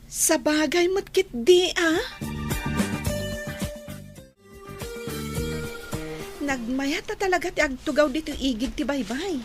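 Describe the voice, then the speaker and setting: A woman playing a gentle young woman in love in a slow radio drama. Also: high-pitched, animated, over music. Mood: content.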